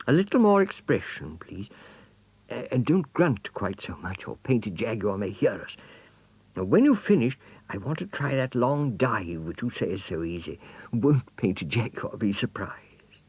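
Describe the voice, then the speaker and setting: A man's voice: lowish voice, sing-song, measured pace, darkish tone, slightly rough, no room sound, voice pushed up, full character voice. A man voicing a small, fussy hedgehog in a storybook, with a polite, clipped manner. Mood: brisk, fussy.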